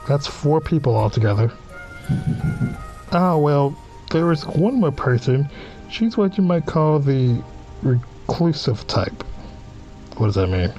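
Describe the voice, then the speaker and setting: A man's voice: mid-range voice, mellow, rough recording. Casual male let's player with music behind. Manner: informal asides between read-out lines. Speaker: let's play host. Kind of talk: reading visual novel dialogue aloud with casual reactions. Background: game music.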